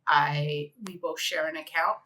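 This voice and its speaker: A woman's voice: low-pitched, fairly even intonation, unhurried, sharp tone, a little hiss or hum. A middle-aged woman from Egypt, an interior designer presenting a conference session in a conversational way with frequent fillers.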